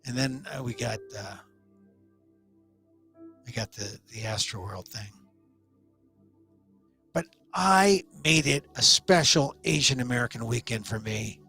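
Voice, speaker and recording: A middle-aged man with a hoarse, scratchy voice, hosting a live online talk show and giving loose, opinionated commentary with restarts. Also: medium pitch, lively intonation, unhurried, long pauses, bright, forceful tone, faint music behind.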